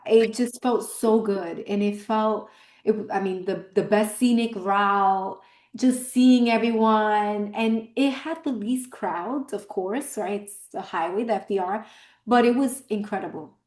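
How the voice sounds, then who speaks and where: A woman's voice: mid-range voice, a little slow, a little mellow. Upbeat woman guest in an echoey room. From Brooklyn, New York. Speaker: fitness coach, guest. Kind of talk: casual interview answers. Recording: roomy, echoey room sound.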